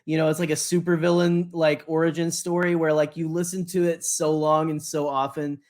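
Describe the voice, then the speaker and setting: A man co-hosting a podcast, chatting casually in a loose, halting and joking way with rambling anecdotes. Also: on the high side, flat delivery, a little mellow. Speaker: podcast co-host.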